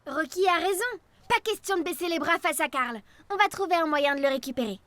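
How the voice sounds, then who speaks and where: A woman's voice: very high voice, animated, brisk, fairly bright tone, no room sound. A woman playing a sensible, loyal young girl in a cartoon, reasoning and reassuring. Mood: reasonable. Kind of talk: animated cartoon acting.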